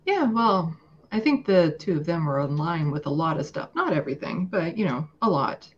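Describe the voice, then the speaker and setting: Lower-voiced woman, slightly dull recording. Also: animated, normal speed, breathy edge. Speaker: podcast guest. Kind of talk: casual political discussion.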